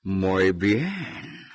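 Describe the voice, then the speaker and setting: Very slow, husky, domineering lord played by a man. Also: sits low, no echo, heavily acted. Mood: smug. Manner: slow, deliberate, sprinkled with Spanish phrases. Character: domineering, lecherous Spanish-speaking lord, the husband. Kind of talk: Ilocano radio drama acting.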